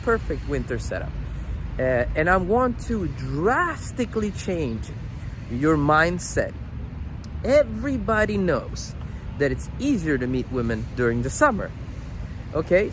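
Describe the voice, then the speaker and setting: A high-voiced man from Sweden vlogs on a noisy city street. He talks in casual slang with a very lively, swinging pitch, repeating phrases for emphasis like a coach. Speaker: dating coach and vlog host. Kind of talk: casual vlog talk addressed to viewers. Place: outdoors walking on city streets.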